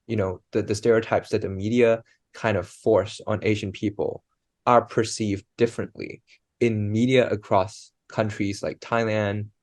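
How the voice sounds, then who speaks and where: A man's voice: fairly low pitch, no echo. A young man conducting a casual research interview, asking loose, hesitant questions. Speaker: student interviewer.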